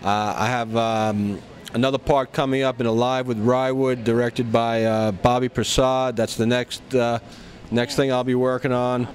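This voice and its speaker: A man giving a casual interview in a halting way, often stopping and starting mid-phrase. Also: mid-range voice, level tone. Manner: halting, hesitant phrasing with frequent stops. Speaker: actor being interviewed.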